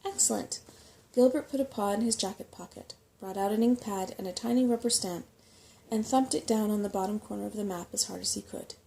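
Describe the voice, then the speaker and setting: A woman reading a storybook in a soft-spoken ASMR style, as a bedtime narrator. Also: mid-range voice, a little fast, creaky, slight background noise, poor audio. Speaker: reader of a bedtime story for relaxation.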